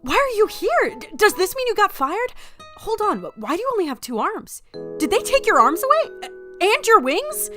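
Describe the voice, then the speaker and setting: Excitable exasperated agent, super high voice swinging all over, music underneath. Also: female voice, moderate pace, full character voice. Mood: excited. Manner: flustered, sarcastic, swearing. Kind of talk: audio drama acting.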